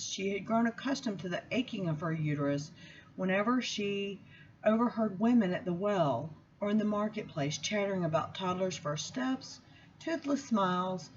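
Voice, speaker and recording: A woman reads from a book aloud in an expressive style, with measured, deliberate phrasing and emphatic pauses. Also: mid-range voice, lo-fi sound, a little hiss or hum. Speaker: seminary student reading aloud. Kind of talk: expressive book reading with spoken introduction.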